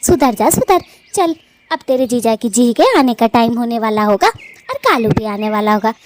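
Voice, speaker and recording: A woman's voice: fairly high pitch, big pitch swings, normal speed, background noise. A woman voicing a fond, scolding, matchmaking elder sister bird in a cartoon story, with a homely, bossy, nagging manner. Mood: chiding. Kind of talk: animated cartoon story dialogue.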